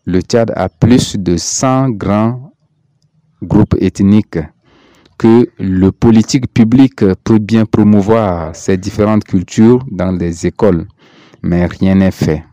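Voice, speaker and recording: Low, dark-voiced man from Chad. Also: takes it slowly, no room sound. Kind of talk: read prepared statement.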